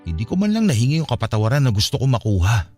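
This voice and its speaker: A man's voice: medium pitch, sing-song, racing, darkish tone, soft background music, lightly acted. An actor playing a grief-stricken, guilt-ridden young Filipino man in a radio drama, anguished and despairing. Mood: bitter regret. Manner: anguished, despairing, self-blaming.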